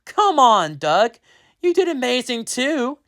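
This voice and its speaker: A reader voicing an earnest young schoolboy in a homemade spoken story, pitched well above their own voice. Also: very animated, unhurried, a bit bright, clear, resonant tone. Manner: earnest read-aloud delivery. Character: excited young boy, proud and loyal.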